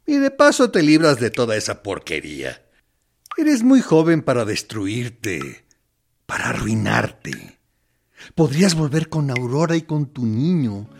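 A man's voice: mid-range voice, wildly swooping pitch, measured pace, gravelly, heavily acted. An actor playing a suave, menacing military interrogator in a radio drama, theatrical, falsely kind and persuasive as he coaxes and threatens. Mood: coolly persuasive.

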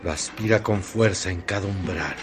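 A man narrating a literary radio drama in solemn, measured phrases. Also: low-pitched, lightly acted. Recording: noisy track with ambient effects.

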